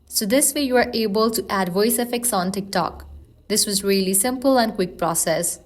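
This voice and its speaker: A woman's voice: mid-range voice, moderate pace. A woman narrating a scripted how-to guide, giving instructions step by step. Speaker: tutorial narrator.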